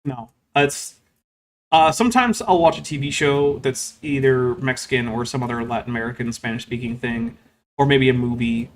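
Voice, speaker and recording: A man's voice: medium pitch, moderate pace, a little hiss or hum. A man hosting a stream, reading super chats and commenting on a clip in a casual, wry way, filling his talk with "like" and "um". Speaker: host.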